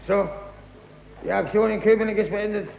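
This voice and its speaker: An actor in a 1940s German radio play, playing a clipped, officious but courteous Prussian captain who is an impostor. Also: male voice, high-pitched, steady tone, moderate pace, vocal fry, a little mellow. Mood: brisk, official. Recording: echoey, dull, hissy old radio recording.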